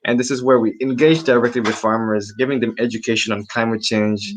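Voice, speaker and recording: A man's voice: mid-range voice, steady tone, a little fast, a little hiss or hum. A man from Indonesia, a research assistant, giving a casual online presentation with many ums and restarts over a computer mic. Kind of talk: presenting a webinar talk.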